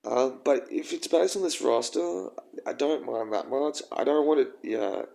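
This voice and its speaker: A young man with a croaky voice, rambling and halting through a casual personal vlog. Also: mid-range voice, expressive, warm tone. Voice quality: croaky, gravelly. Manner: rambling, halting, with frequent ums and swearing. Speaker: vlogger.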